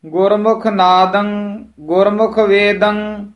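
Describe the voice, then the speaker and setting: A man reciting scripture in a slow chant, drawing out each phrase. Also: high-pitched, level tone.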